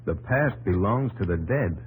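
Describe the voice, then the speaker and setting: An actor playing a vigorous, idealistic young newspaper editor in a 1950s radio drama, speaking in a resolute, oratorical way. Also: male voice, low-pitched, animated, moderate pace. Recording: noisy old radio recording.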